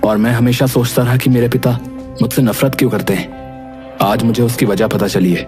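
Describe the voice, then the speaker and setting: A man's voice: mid-range voice, steady tone, normal speed, close to an everyday voice. A man voicing a wounded, indignant young long-lost twin in an emotional story drama with music behind. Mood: bitter.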